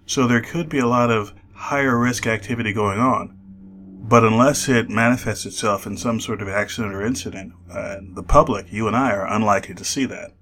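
Man, lowish pitch, expert interviewee, measured explanatory delivery, careful phrasing. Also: fairly bright tone, slight background noise. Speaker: aviation expert, interview guest.

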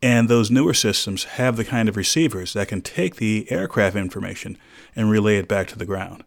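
A man with a fairly low voice speaks as an expert guest on a radio interview. He explains things in a measured, precise way, with formal, carefully built phrasing. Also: lively intonation, gravelly.

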